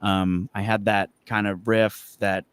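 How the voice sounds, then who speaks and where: A man's voice: fairly low pitch, little pitch movement, slow pace, clean tone. A man chatting casually as a musician guest about his songwriting, in a loose, rambling way. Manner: rambling, loosely hedging with "like" and "kind of". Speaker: musician guest, band frontman.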